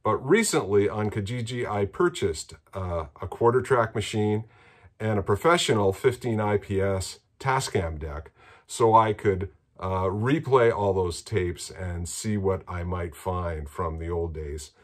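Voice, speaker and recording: A man, explaining things in a casual, halting vlog style at home. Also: lowish voice. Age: 60s. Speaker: musician and presenter. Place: small rooms in a home.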